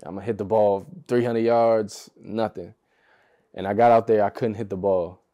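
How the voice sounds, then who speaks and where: A man's voice: lowish voice, fairly even intonation, normal speed, muffled recording. A young American man, a basketball player guesting on a podcast, chatting in an easygoing way with lots of fillers and laughter. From United States. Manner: laid-back, easygoing, laughs often, loose phrasing with many fillers. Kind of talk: relaxed casual interview chat.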